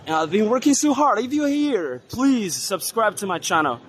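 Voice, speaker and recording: A hoarse man walking through an indoor trade fair hall, giving casual commentary on the stands with many fillers and pauses. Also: sits high, sing-song, slight background noise. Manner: unhurried, halting, many fillers. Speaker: vlogger touring a trade fair.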